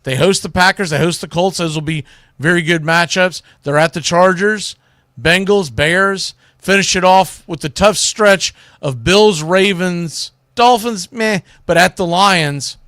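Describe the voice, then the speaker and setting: A man's voice: sits high, a little slow, fairly bright tone, dry recording, clean studio sound. A man hosting a sports talk show, giving casual, opinionated commentary in colloquial language, speaking straight to his listeners.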